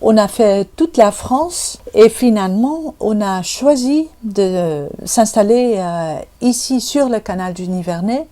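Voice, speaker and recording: Woman, slow and mellow, hesitant and gentle. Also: mid-range voice, lively intonation. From Netherlands. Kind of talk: interview testimony.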